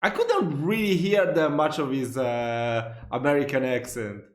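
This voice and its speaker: A man with an Italian accent hosting a reaction video, chatty and quick to exclaim and interject. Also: mid-range voice, very animated, roomy sound. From Italy. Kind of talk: casual reaction commentary.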